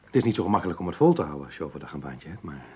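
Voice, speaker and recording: Lively-pitched, low-voiced genial schoolteacher. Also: male voice, very fast, slight background noise. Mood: encouraging, measured. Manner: genial, hesitant, encouraging. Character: kindly, older male schoolteacher, fatherly and measured. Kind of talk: Dutch radio play acting.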